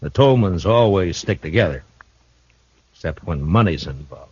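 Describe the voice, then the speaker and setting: A deep-voiced, calm frontier marshal. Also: male voice, moderate pace, husky, dry recording. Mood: calm, probing. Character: steady, shrewd frontier town marshal, grown man. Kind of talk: 1950s radio drama acting. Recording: dull old radio broadcast recording.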